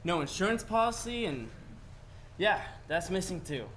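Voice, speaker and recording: A man playing a gentle, earnest, childlike elderly angel in a 1940s-style radio play. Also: sits high, lively intonation, moderate pace, a little hiss or hum. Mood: earnest, explaining. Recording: live stage play with music behind.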